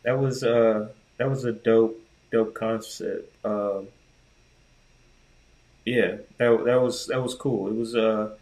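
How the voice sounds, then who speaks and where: A man's voice: on the low side, steady tone, takes it slowly, a little mellow, rough recording. A man co-hosting a podcast, chatting casually in a laid-back, slangy way. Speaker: podcast co-host. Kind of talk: casual chat.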